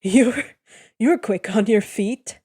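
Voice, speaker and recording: Woman playing a wary sea captain guy in a fanfic reading, halting and stumbly, fairly high and slow. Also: a little mellow, husky. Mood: flustered. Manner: hesitant, halting speech. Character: cautious, wary adult male ship captain.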